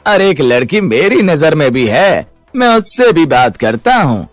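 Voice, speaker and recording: A man playing an eager, chatty matchmaker friend, brisk and persuasive, in a cartoon moral story. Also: fairly high pitch, very animated, no room sound, faint music behind, voice pushed up.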